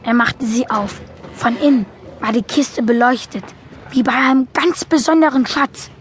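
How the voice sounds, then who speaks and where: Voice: high voice, moderate pace, faint music behind, natural voice. A young performer playing a naive boy who narrates a German film audio drama in a simple, matter-of-fact way. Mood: earnest.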